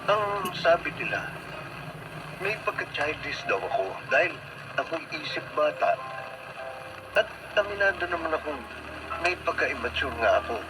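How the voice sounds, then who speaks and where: Whiny, high-voiced childish young man. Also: sing-song, moderate pace, pauses often. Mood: sorrowful. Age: young adult. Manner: whiny, melodramatic. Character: childish, petulant young man, desperate and heartbroken. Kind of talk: radio drama acting. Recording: noisy radio drama with clear background noise.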